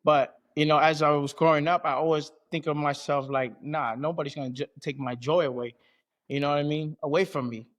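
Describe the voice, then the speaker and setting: Man, fairly high voice, from American Samoa and California, athlete guest, colloquial, conversational. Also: steady tone, fairly quick. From American Samoa and Southern California. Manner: colloquial, frequent "you know what I mean". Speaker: combat sports athlete being interviewed. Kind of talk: casual conversation.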